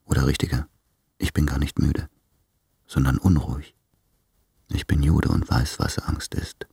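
A man's voice: a bass voice, level tone, dry recording, clean studio sound, heavily acted. An actor playing a weary, anxious man of thirty-eight in a brooding, intimate radio drama monologue. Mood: weary, uneasy. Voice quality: gravelly, husky. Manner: brooding, hushed, deliberate.

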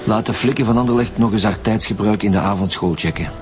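Actor doing flemish police boss, deep voice, short probing questions, radio crime drama w music. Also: male voice, quick pace, lightly acted. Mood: matter-of-fact. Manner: curt, probing, matter-of-fact. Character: businesslike middle-aged lead homicide detective. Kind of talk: naturalistic radio drama acting.

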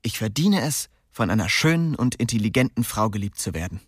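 A man's voice: fairly low pitch, very animated, a little fast, slightly rough, no room sound, studio quality. An actor playing a wry, streetwise young man narrating his own story in a radio drama. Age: young adult.

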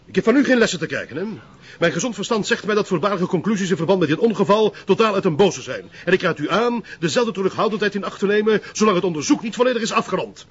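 An actor playing a pompous, officious Flemish police commissioner with a formal, blustering manner in an audio drama. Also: male voice, high-pitched, lively intonation, quick pace, breathy edge. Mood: stern, indignant. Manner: formal, blustering, tagging sentences with "hè". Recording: muffled audio with faint noise.

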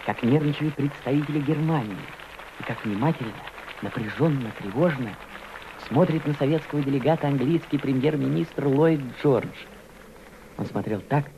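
An actor playing an earnest member of a Soviet delegation, narrating his memories with deliberate, dramatic phrasing in a radio drama. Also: mid-range voice, warm tone, a little hiss or hum, close to an everyday voice. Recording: dull old recording with background ambience.

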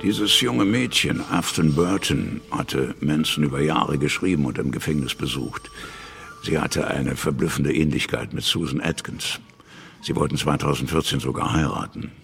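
A man's voice: very deep voice, normal speed, gravelly, a bit bright, heavily acted. A man with pronunciation narrating a radio play from a script in a laconic, matter-of-fact way. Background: music.

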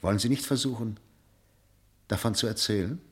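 An actor playing a probing interrogator in a radio play, formal, articulate and pressing. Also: male voice, on the low side, brisk, fairly bright tone, natural voice. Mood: searching, persuasive. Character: probing, insistent male interrogator of a prisoner.

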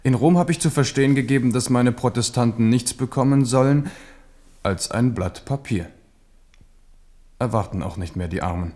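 A man's voice: medium pitch, normal speed, a little mellow, close to an everyday voice. An actor in a radio drama playing a proud, shrewd king, confident and parrying in his manner. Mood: wry, parrying. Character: proud, shrewd, self-assured French king. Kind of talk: 1970s German radio drama acting.

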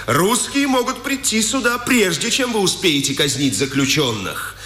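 An actor playing a proud, defiant condemned communist prisoner in declamatory 1950s Soviet radio drama style. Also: male voice, high voice, sing-song, pushed and bright, exaggerated voice. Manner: declamatory, emphatic, deliberate. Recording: echoey 1950s radio play recording.

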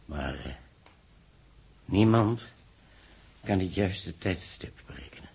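An actor playing a grave astronomer in a 1960s Dutch radio drama, speaking in a measured, solemn way with dramatic pauses. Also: male voice, low-pitched, lively intonation, gravelly, a little mellow, husky, full character voice. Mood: solemn, foreboding. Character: grave male astronomer warning of solar catastrophe. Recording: old 1960s radio recording.

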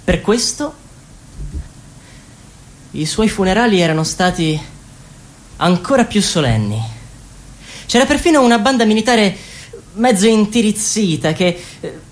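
A woman playing a solemn party member who recounts the past haltingly in a radio drama. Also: mid-range voice, expressive, natural voice.

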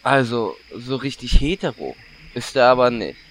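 A man's voice: mid-range voice, animated, moderate pace, slight background noise, lightly acted, poor audio. A man playing a cocky, lecherous orc warlock in a comedic audio drama. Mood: snide. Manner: brash, flirty.

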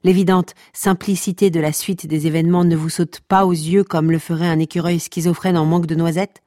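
An actress playing a sardonic, teasing storyteller who narrates comic podcast fiction and scolds listeners with deadpan wit. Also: female voice, lowish voice, brisk, no room sound, clean studio sound, lightly acted. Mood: mock-solemn.

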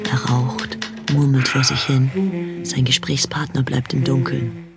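A woman giving measured, clipped scene-setting narration in a radio drama. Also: extremely low pitch. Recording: very loud music behind.

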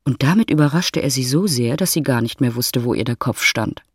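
A man's voice: mid-range voice, brisk, husky, no echo, clean studio sound, natural voice. A man narrating a love story in a literary audio drama. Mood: composed narrating.

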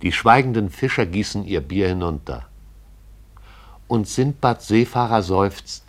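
A man narrates a radio play in a measured, poetic way. His voice is very deep. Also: animated.

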